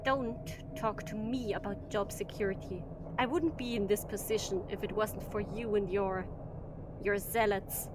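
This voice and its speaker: A woman's voice: mid-range voice, fairly even intonation, measured pace, background noise, close to an everyday voice. A woman playing a blunt, defiant shuttle captain in an audio drama, curt and dismissive in manner. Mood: irritated.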